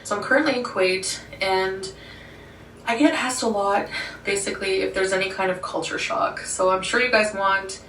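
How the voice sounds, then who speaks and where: A woman's voice: mid-range voice, normal speed, fairly bright tone, distant mic, a little hiss or hum. Chatty woman in an echoey room. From Ottawa, Canada. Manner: chatty, rambling, with frequent "like" and small laughs. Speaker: vlogger. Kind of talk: sit-down talk vlog.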